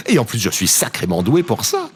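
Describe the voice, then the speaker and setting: Man playing wildly animated, gushing phone operator. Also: on the low side, fairly quick, slightly rough, exaggerated voice. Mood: elated, gushing. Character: exuberant, naive ex-president turned happy phone operator. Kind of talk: audio drama comedy acting. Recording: faint background noise.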